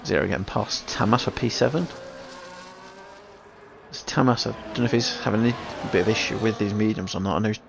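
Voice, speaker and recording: A man calls a sim race in a low, gravelly voice gone croaky after a sneeze. He thinks aloud, restarting his sentences as the action unfolds. Engine noise and hiss sit under his mic. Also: brisk. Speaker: race commentator. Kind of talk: sim racing commentary.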